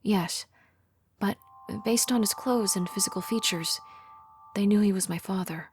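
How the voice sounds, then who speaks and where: A woman voicing the grieving child of a local historian, speaking quietly and subdued. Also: medium pitch, unhurried, slight background noise, lightly acted.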